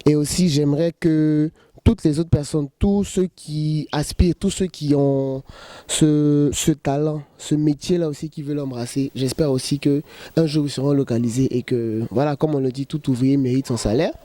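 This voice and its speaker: A man in his thirties, a choreographer, answering interview questions in a relaxed, joking way and saying "voilà" often. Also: fairly high pitch, normal speed, no echo. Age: 30s.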